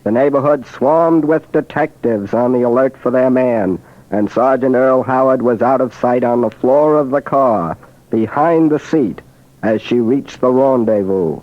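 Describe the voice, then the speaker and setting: A man narrates a crime case over a dull vintage radio recording. His voice is mid-pitched and the delivery is scripted, in 1940s radio style. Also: a little slow.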